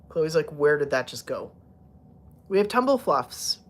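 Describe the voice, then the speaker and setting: A woman hosting a casual live stream, chatting with viewers and cooing at her cats, laughing often and rambling with fillers as her voice starts to go. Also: deep voice. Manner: giggly, playful baby-talk to her cats. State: losing her voice. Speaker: livestream host talking to viewers and her cats.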